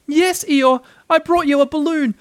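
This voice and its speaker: A man's voice: very high voice, fairly even intonation, measured pace, no room sound, clean studio sound, voice pushed up. A man voicing a small, timid, anxious piglet in a storybook, earnest and apologetic, with hesitant speech. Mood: eager.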